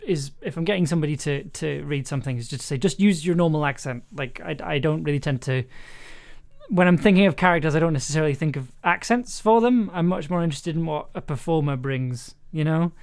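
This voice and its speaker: A woman's voice: sits low, a little mellow. A woman chatting casually and thinking aloud on a livestream while editing audio. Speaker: audio drama producer and streamer. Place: indoors at a computer, livestream.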